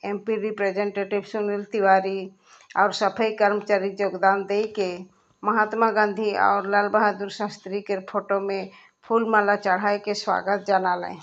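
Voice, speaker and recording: A woman news reporter reads her report in a flat, level voice. The sound is dull and lo-fi. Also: mid-range voice.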